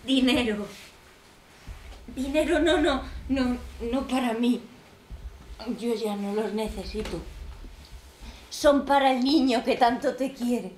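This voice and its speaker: A woman's voice: fairly high pitch, darkish tone, roomy sound, distant mic, a little hiss or hum, low-quality recording. A woman playing a lonely half-mad old woman. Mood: quiet grief. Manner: slow, pleading, swinging between bitter and tearful. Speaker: actress in a monologue. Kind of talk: stage monologue.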